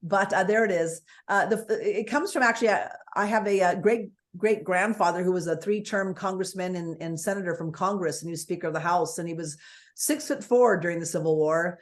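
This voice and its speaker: A woman with a booming, fairly low voice, talking as an interview guest. She speaks forthrightly and energetically, piling point on point. The sound is that of a video call. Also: brisk.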